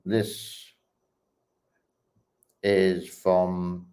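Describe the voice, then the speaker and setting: A man hosting his channel, talking through social media clips in a slow, hesitant way with many pauses and fillers. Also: low-pitched, completely flat delivery, dark tone. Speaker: host reacting to online clips. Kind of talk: casual commentary while scrolling a feed.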